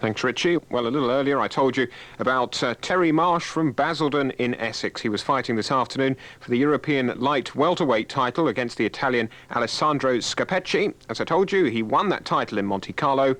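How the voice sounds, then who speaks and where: A British man presenting live sports results on radio, calm and matter-of-fact through technical trouble. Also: medium pitch, brisk.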